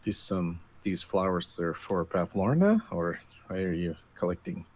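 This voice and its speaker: A low-voiced man takes part in a tabletop game as a player. He talks casually and hesitantly, in and out of roleplay, over faint background noise. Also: sing-song, measured pace.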